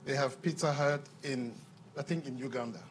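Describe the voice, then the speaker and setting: A man's voice: medium pitch, moderate pace, a little hiss or hum. Earnest man at a panel. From Cameroon. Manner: earnest, repeats words when restarting. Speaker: audience member at a panel Q&A. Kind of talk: impassioned floor comment. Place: conference room. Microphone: handheld microphone.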